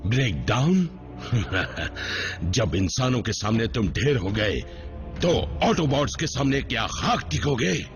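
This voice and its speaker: A man's voice: medium pitch, very animated, slow, faint music behind. A man playing a commanding, scornful alien robot warlord in a dubbed cartoon, speaking with menace and contempt. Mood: mocking. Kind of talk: dubbed cartoon villain acting.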